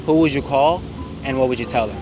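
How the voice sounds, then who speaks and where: A man's voice: mid-range voice, fast, noisy background. A man doing casual street interviews in an informal, slangy, encouraging way. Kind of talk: casual vox-pop interview chat. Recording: music laid under the voice.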